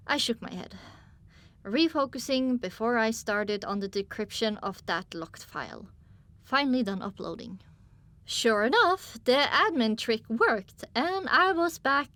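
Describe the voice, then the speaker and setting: Casting: female audiobook narrator, lightly raised pitch, telling a story dramatically in first person. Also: fairly bright tone.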